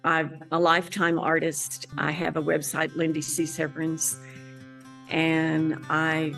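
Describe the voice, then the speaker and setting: A middle-aged woman with a West Texas drawl and a low, gravelly voice talks about her painting in an earnest, relaxed way. Faint music plays softly beneath her. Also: slow. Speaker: landscape painter. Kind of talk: personal interview monologue. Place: art gallery.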